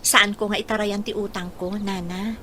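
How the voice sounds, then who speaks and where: A woman's voice: medium pitch, level tone, normal speed, pushed and bright, a little hiss or hum, lightly acted. A woman playing a humble, struggling single mother in a radio drama, polite and earnest. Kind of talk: Philippine radio drama acting.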